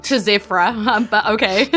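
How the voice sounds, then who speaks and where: A woman's voice: medium pitch, normal speed, fairly bright tone, soft background music. A woman with a New Zealand accent, running a casual tabletop roleplaying game as game master.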